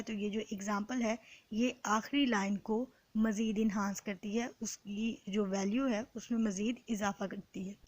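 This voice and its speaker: A woman with a Pakistani accent giving an explanatory lecture in a deliberate, instructive way, repeating points in other words. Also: on the high side, no echo, poor audio. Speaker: law lecturer. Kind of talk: explanatory exam-prep lecture, reading and translating.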